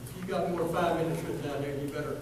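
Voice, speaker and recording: A man chairs a public board meeting, running the agenda in a folksy, joking way. He is heard from a distance in a hall with long reverb. Loud hiss runs under his voice. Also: fairly high pitch, steady tone, rapid-fire. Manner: folksy, joking procedural talk. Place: large echoey meeting hall.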